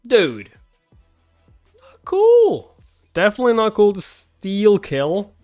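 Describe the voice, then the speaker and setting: A man's voice: sits high, wildly swooping pitch, takes it slowly, a little mellow. A man doing a live read-along, switching between narrating a comic and giving incredulous casual asides. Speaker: reaction host reading a webcomic aloud. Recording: music behind the voice. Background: background music.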